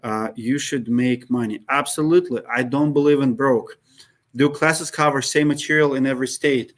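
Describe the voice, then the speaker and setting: A man's voice: mid-range voice, steady tone, moderate pace. A man with an accent, hosting and answering viewers' questions in a live session. He talks casually, dropping articles, with many fillers and restarts. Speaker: host. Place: Minneapolis.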